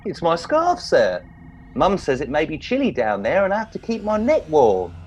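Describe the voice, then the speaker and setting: A man's voice: fairly high pitch, wildly swooping pitch, normal speed, slight background noise. A man playing a naive, sulky young private and mummy's boy in a comic radio play, childish and a little whiny.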